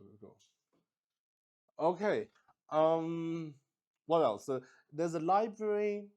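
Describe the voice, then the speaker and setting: A man with an average-pitched voice improvises the narration of a solo tabletop roleplay. His delivery is slow and halting. He thinks aloud and often repeats his phrases as he decides what comes next. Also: creaky, darkish tone. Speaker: game master and solo player, show host.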